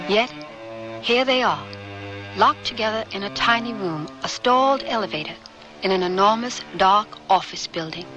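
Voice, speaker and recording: A woman delivering deliberate, suspenseful scripted narration for a radio drama. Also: medium pitch, poor audio. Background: music.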